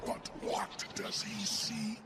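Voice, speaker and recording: Eerie, slow, high whispery spirit. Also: male voice, monotone, heavy background noise. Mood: ominous, portentous. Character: eerie, ominous arena spirit judging challengers. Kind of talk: video game cutscene acting. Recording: loud music behind.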